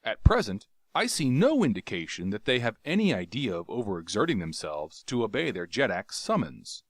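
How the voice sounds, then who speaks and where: A man's voice: fairly low pitch, big pitch swings, moderate pace, no echo, clean studio sound, voice pushed up. A man voicing a bold, impatient male warrior who speaks in a commanding way.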